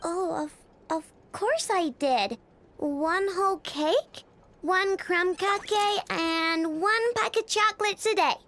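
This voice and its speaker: A woman's voice: extremely high pitch, takes it slowly, fairly bright tone, heavily acted. A woman voicing a young, sweet-toothed girl mechanic in a video game, childlike, hesitant and wheedling, stretching out words. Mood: sheepish. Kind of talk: animated video game acting.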